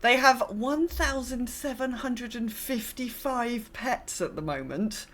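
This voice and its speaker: A woman's voice: fairly high pitch, animated, takes it slowly, a bit bright, slight background noise. A woman co-hosting a podcast, chatting casually and reading out messages in a sympathetic way. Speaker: podcast co-host.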